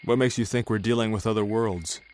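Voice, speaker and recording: A man's voice: fairly low pitch, fairly even intonation, brisk, a little mellow, natural voice. A man voicing a grim masked vigilante who speaks in short, cold, commanding lines. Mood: terse.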